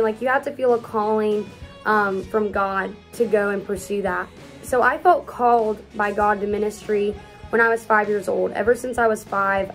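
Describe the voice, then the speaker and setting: An upbeat young woman in her early twenties talks to camera in a casual question-and-answer vlog, gesturing as she speaks. She is recorded close up in a small room at home, with soft music faintly underneath. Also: mid-range voice. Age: early 20s. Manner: chatty and upbeat, talks with lots of hand gestures. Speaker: youth pastor.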